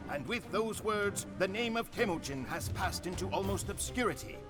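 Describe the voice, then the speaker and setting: An actor playing a grim Mongol warrior who solemnly recounts his Khan's conquests as dramatic game cutscene narration, with deliberate phrasing. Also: sits high, animated, music in the background. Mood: solemn, foreboding.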